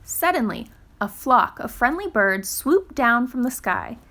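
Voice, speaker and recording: A woman reading a children's storybook aloud in a careful, gentle, expressive storytelling style. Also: fairly high pitch, measured pace. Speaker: storytime reader.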